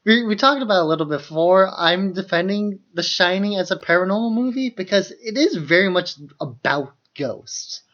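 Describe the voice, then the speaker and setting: The recording is slightly dull and lo-fi. A man with a somewhat high voice co-hosts a film podcast. His talk is casual and informal, with a lot of "like" in it. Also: expressive, normal speed, few pauses, fairly bright tone. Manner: informal, hedging with many "like"s. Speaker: podcast co-host.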